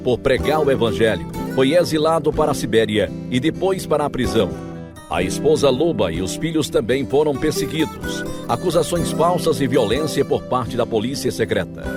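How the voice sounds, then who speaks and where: A male radio announcer reads scripted, dramatic narration. His mid-pitched voice moves with very lively intonation over clearly audible guitar music. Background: music, plucked guitar.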